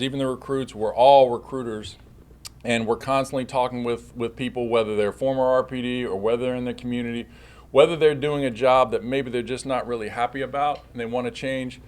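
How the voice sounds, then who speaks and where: Man, police chief, public slide presentation, halting delivery, repeated words and self-corrections. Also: medium pitch, fairly even intonation.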